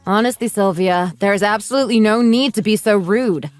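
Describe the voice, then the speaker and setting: A woman's voice: mid-range voice, a little fast, no room sound, faint music behind, close to an everyday voice. A woman playing a sensible, put-upon mother in her late thirties in a radio drama, polite but firm. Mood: puzzled, exasperated. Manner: polite, reasonable, mildly exasperated.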